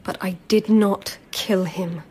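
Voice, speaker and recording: An actress playing a timid, lovestruck palace lady in a radio drama, hushed and hesitant, with a husky, breathy voice. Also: mid-range voice, fairly even intonation, takes it slowly, a little hiss or hum, natural voice. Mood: sorrowful. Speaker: actor. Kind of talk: intimate radio drama acting.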